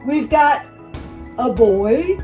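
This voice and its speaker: A woman voicing a big brutish troll in a storybook, loudly crowing over its catch. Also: high-pitched, animated, extremely slow, music in the background, pitched above the performer's own voice, heavily acted. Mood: triumphant. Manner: loud, exclaiming.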